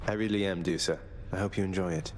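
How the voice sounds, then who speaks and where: An actor playing a young underworld prince in a video game, courteous, dry and earnest in an understated way. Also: male voice, sits low, steady tone, fast, soft background music, close to an everyday voice. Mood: polite, sincere. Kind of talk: video game character acting.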